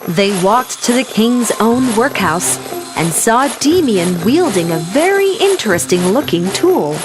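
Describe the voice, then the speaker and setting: A woman storyteller giving an expressive, clearly phrased scripted fairy-tale narration for young listeners. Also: fairly high pitch, unhurried. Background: clear music.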